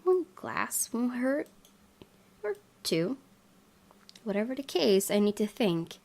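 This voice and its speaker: A woman's voice: fairly high pitch, very animated, slow pace, vocal fry, darkish tone. A woman reading a visual novel aloud as scripted first-person narration for a gaming video. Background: music.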